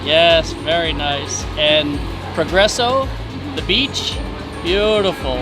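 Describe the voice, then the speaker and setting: A woman speaking haltingly and searching for words, recorded outdoors in town. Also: low-pitched, lively intonation, loud music behind the voice. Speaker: tourist interviewee.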